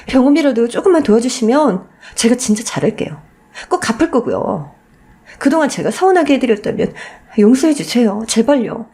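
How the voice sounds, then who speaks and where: A woman's voice: a little high, animated, a little fast, warm tone, voice pushed up. A woman performs a wronged daughter-in-law in a radio story. She sounds desperate and emotional, someone pushed to her limit. Her intonation rises and falls dramatically. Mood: pleading. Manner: emotional, imploring.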